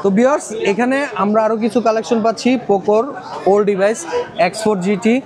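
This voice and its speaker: Fast, high-pitched Bangladeshi phone seller, male. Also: fairly even intonation. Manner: rattles off models and prices, repeating them. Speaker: phone shop seller presenting stock and prices. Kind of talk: sales pitch to viewers in a shop walkthrough. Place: electronics shop in a busy shopping complex. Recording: busy shopping mall crowd behind voice. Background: crowd chatter.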